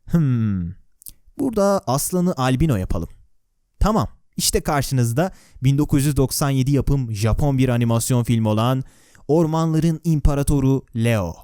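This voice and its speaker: A man's voice: mid-range voice, warm tone, clear, resonant tone, dry recording. A man narrating a video in a scripted but conversational way, with wry, sarcastic asides and questions to the viewer, recorded close on a voice-over microphone. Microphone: close, dry voice-over microphone.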